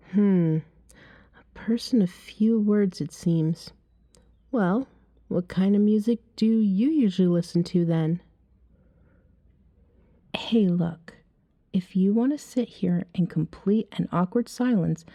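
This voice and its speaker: A self-assured vampire woman, played by a woman, flirts in an intimate ASMR roleplay. Her voice is soft and mellow, recorded dry and close. She takes her time, teasing and coaxing with breathy asides. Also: medium pitch, no echo. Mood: playful, inviting, then coolly coaxing. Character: flirty, self-assured female vampire chatting up a stranger at a bar. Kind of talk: ASMR roleplay, acted one-sided dialogue.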